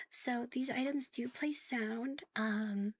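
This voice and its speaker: A woman chatting casually to viewers on an ASMR livestream, soft and breathy with a playful, cutesy manner, often dropping to a whisper close to the mic. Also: fairly high pitch, dry recording. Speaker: ASMR live streamer hosting in a virtual world. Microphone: close, sensitive streaming microphone.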